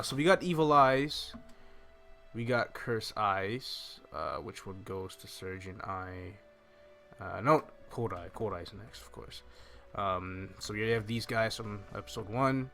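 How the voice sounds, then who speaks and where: Bright-voiced young man giving casual game commentary. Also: fairly low pitch. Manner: informal, rambling, thinking aloud. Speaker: gaming video host. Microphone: microphone on a stand close in front of him. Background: game music.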